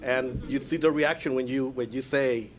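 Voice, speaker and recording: A man whose first language is Spanish, chairing a school board meeting and speaking earnestly in halting phrases. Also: mid-range voice, fairly even intonation, slight background noise, rough recording. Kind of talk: public meeting remarks.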